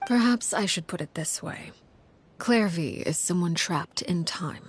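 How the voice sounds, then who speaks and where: A woman playing a cold, commanding head of a household in a video game, speaking formally and deliberately in a husky, breathy voice. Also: fairly low pitch, lively intonation, a little hiss or hum. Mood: calm, reflective. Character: cold, commanding crime-house matriarch who calls herself father.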